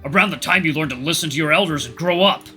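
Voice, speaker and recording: A man's voice: on the high side, fast, over music, pitched above the performer's own voice, lightly acted. A man voicing a stern older king, a formal and reproachful father in a storybook tale. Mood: angry scolding. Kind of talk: storybook character voice.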